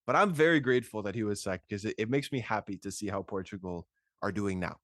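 A young man chatting casually about football as a podcast host, excitable and slangy with emphatic repetition. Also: lowish voice, quick pace, no room sound, studio quality. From Toronto, Canada. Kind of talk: casual sports chat.

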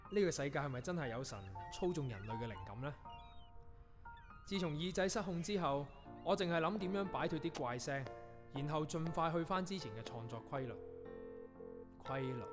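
A man's voice: fairly high pitch, expressive, brisk, long pauses, music in the background, natural voice. A man acting in a Cantonese radio drama as a young, reflective composer, with a Hong Kong accent and an earnest, literary delivery. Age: young adult. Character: young male avant-garde composer, reflective and restlessly inspired.

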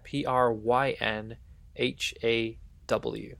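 A man with a noticeably creaky, gravelly edge to an average-pitched voice. He talks casually as a podcast co-host, posing football questions in a conversational way. The sound is close and dry. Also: flat delivery, drawn-out words, fairly bright tone, dry recording. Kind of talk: casual football quiz chat.

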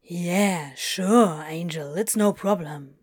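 A woman voicing a laid-back teenage boy who talks in a low, unhurried drawl. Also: a little mellow, no echo, studio quality. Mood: shy.

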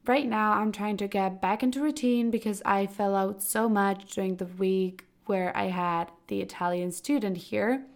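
A young woman in her late teens talks through a solo podcast episode. Her voice is raspy and hoarse from a cold. She rambles casually, with plenty of fillers. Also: mid-range voice, moderate pace, hardly any pauses. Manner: chatty, loose, full of "like" and "kinda". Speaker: podcast host.

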